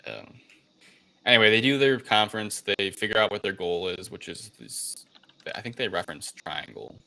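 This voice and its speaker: A man's voice: lowish voice, expressive, moderate pace, vocal fry, sharp tone. A man co-hosting a casual film discussion, talking in a hesitant, rambling way with unsure phrasing. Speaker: podcast co-host recapping a film.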